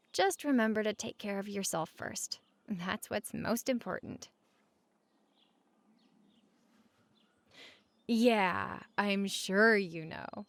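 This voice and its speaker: A woman playing a caring, teasing roommate in a comfort audio roleplay, speaking casually and candidly with a creaky voice at regular volume. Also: mid-range voice, a bit bright, dry recording. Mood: reassuring.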